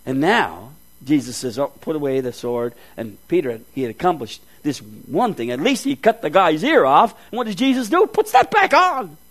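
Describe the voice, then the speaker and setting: A man preaching a storytelling sermon, acting out scenes with folksy asides and swinging from loud emphasis to hushed, quiet lines. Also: on the high side, sing-song, normal speed, slightly rough.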